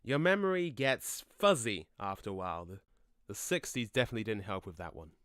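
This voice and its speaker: A man playing a laid-back but quick-tempered, cocky ghost in an audio drama, with a brash, slangy, matey way of talking. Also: on the low side, expressive, a bit bright, clear, resonant tone, no room sound, clean studio sound. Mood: relaxed, reflective. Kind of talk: conversational audio drama acting.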